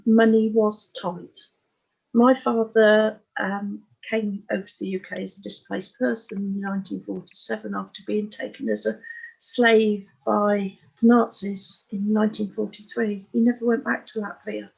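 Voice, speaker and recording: Older English woman, sixties, husky voice on slightly dull audio, giving a frank, unpolished personal account as a guest. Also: mid-range voice, level tone, moderate pace, a little mellow. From Oxfordshire, England. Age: 60s. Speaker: interview guest. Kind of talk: candid personal life story in conversation.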